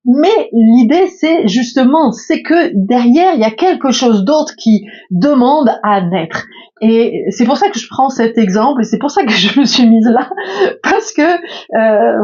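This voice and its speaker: A woman's voice: on the high side, mellow, dull audio. A French woman in her fifties, a spiritual coach speaking casually from her bedroom, hesitant with many fillers and restarts. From France. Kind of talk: casual reflective monologue.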